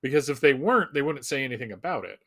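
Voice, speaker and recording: A man's voice: medium pitch, animated, quick pace, fairly bright tone. A man with a mid-range voice talks in the loose style of a fan commentator. He is informal and emphatic, and tends to ramble through his points. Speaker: Superman fan commentator on a fan live show. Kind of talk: casual fan discussion.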